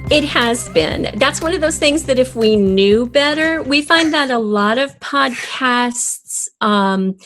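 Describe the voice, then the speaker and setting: Older woman, high voice, unhurried interview guest. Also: lively intonation, a bit bright, faint music behind. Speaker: audio drama writer, interview guest. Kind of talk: conversational interview.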